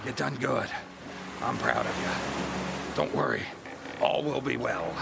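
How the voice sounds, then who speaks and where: An actor playing a tender, desperate fatherly officer in a seafaring audio drama, with a gravelly voice. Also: mid-range voice, measured pace, loud music behind the voice. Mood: tender, urgent. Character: tender, desperate older male officer comforting dying boy.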